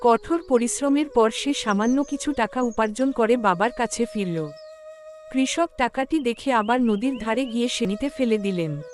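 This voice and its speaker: A woman's voice: on the high side, normal speed, soft background music. A woman storyteller reading a scripted moral tale for a cartoon.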